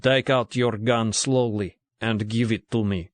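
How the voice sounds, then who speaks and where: A nervous, weary middle-aged Russian agent, put on by a male narrator, speaking stiff, clipped English in a heavy Russian accent. The voice sits low, and the sound is close and dry. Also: fairly even intonation, no echo, close to an everyday voice. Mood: tense, formal. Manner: formal, clipped, halting English.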